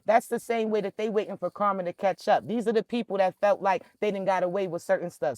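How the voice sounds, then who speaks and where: A man's voice: high voice, darkish tone, no echo, clean studio sound. A young man, emphatically delivering a spiritual message straight to his listeners. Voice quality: raspy. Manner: emphatic, preacherly, addresses listeners directly. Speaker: spiritual reader. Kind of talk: direct-to-camera spiritual message.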